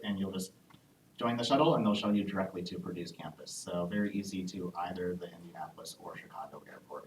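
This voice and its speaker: A man working as an admissions officer who hosts a live Q&A panel and explains things in a friendly way, with frequent "um" pauses. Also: on the low side. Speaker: assistant director of international undergraduate admissions. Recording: echoey room, distant mic, faint background noise.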